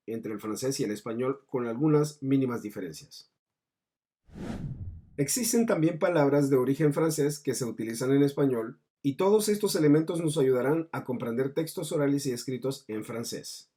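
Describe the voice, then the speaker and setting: Man, language teacher, muted mellow tone, husky voice, mid pitch, didactic scripted narration. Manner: didactic, mixing in French terms. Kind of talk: scripted explanatory lesson narration.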